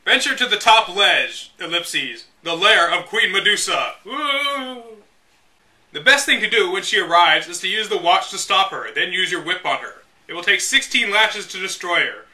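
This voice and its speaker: Bright, high-pitched man, sarcastic read-aloud host. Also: lively intonation, normal speed, far from the mic. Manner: sarcastic, exasperated. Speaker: host reading a game guide aloud with commentary. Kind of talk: dramatic read-aloud with casual mocking asides.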